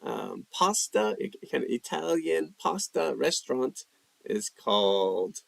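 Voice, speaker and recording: Male stream host, slow and gravelly, lively pitch, casual chat-reading delivery. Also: no echo. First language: English. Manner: unhurried, reads chat questions aloud, answers with fillers. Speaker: livestream language host. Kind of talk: casual live chat reading viewer questions.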